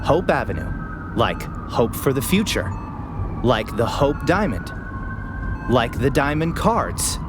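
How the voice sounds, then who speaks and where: An actor playing an eager, earnest paramedic obsessed with crime theories in an audio drama. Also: male voice, mid-range voice, very animated, slow, long pauses. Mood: insistent. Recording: clear vehicle and siren noise behind. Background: ambulance and siren sound effects.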